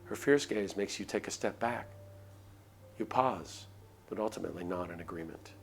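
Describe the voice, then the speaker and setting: Low-voiced man narrating over music. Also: normal speed, vocal fry. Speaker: streamer reading a visual novel aloud. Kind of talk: dramatic narration of a game script with asides. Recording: music behind the voice.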